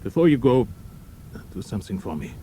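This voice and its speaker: A man's voice: fairly low pitch, big pitch swings, fairly quick, dark tone, slight background noise. An actor playing a wounded German airman in an audio drama, stoic and resigned, speaking weakly but matter-of-factly. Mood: resigned, firm. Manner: weak, resigned, matter-of-fact.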